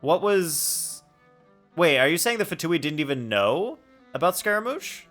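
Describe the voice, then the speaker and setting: A man commentating casually on a game he is streaming. His bright voice swings around expressively as he rambles and playfully questions what he sees. Faint music plays behind him. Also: fairly high pitch, very animated, measured pace. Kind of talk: casual live gameplay commentary, chatting with viewers.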